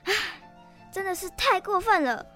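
A woman voicing a caring, weary, aggrieved goldfish in a children's radio play, sighing and expressive. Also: very high-pitched, normal speed, soft background music, heavily acted. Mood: concerned.

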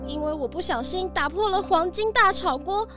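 A woman's voice: extremely high pitch, expressive, normal speed, music in the background. A woman playing a sheepish, flustered female cook with a whiny, protesting manner in a children's detective radio drama. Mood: embarrassed, confessing.

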